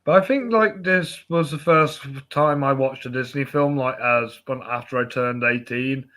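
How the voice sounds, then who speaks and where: A man's voice: medium pitch, a little slow, fairly bright tone. A young man co-hosting a film podcast in casual, conversational chat.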